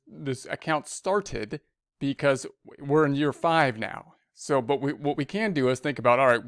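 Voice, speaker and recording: Clear-voiced man giving a tutorial. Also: medium pitch, no echo, studio quality. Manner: conversational, repeats and rephrases as he explains. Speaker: accounting instructor. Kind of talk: screencast tutorial, thinking aloud through a worksheet.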